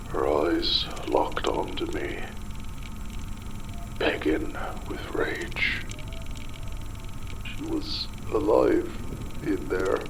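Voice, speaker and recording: A man playing a desperate, guilt-ridden father losing his mind, in a dramatic horror audio monologue with a gravelly, breathy voice that often drops toward a whisper. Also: a bass voice, background noise, heavily acted. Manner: slow, brooding, halting delivery in short phrases. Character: desperate, guilt-ridden father turning mad in a ruined city.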